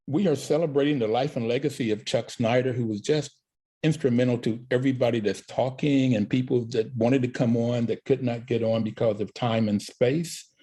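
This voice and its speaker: A man's voice: medium pitch, dark tone, breathy edge. A man hosting a talk show, telling anecdotes in a casual way with fillers. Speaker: radio show host.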